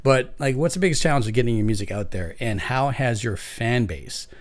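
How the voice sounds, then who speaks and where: A man's voice: medium pitch, animated, a little fast. A man interviewing a guest as a podcast host, in a conversational style.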